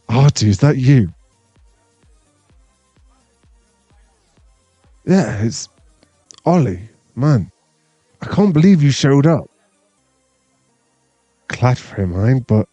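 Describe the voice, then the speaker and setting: A man playing an awkward, tender former bully of nearly thirty in an intimate ASMR boyfriend roleplay, speaking with halting sincerity. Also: medium pitch, lively intonation, no echo, faint music behind. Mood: apologetic, hesitant. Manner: hesitant, halting, soft-spoken sincerity.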